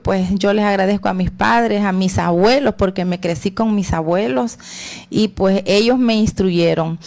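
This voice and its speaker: Una mujer con acento salvadoreño da su testimonio personal de fe con calma, pausando con muletillas como "verdad" y "pues". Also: female voice, medium pitch. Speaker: guest giving testimony.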